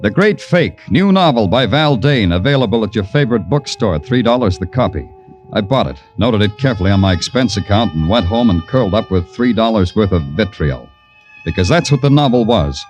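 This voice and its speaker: Man acting a deep-voiced, deadpan American radio detective. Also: fairly quick, natural voice. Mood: wry narration. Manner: deadpan, sardonic. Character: wry, weary, hard-boiled male police detective. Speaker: detective narrator. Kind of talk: 1950s radio crime drama acting. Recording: vintage radio recording, music underneath.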